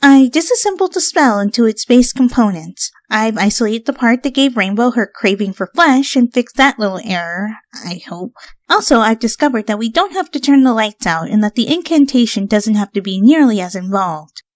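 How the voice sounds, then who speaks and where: A woman's voice: fairly high pitch, expressive, normal speed, no echo, pitched above the performer's own voice, close to an everyday voice. A woman voicing an earnest, bossy young female pony scholar in a story reading, articulate and scolding. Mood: brisk explaining.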